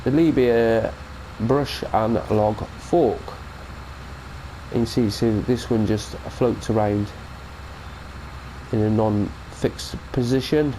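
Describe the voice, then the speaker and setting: A man's voice: fairly low pitch, steady tone, takes it slowly, mellow, slight background noise, poor audio. A British man with a cold, giving a chatty, rambling mod review of a farming game and correcting himself as he goes. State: has a cold. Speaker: gaming channel host. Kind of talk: casual mod review commentary.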